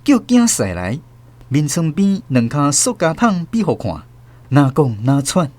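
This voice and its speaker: 一位男主持人以台語口音朗讀小說旁白，語調生動。. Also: male voice, fairly high pitch, sing-song, unhurried, a little mellow. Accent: Taiwanese Hokkien. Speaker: host and narrator. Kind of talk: scripted storytelling narration.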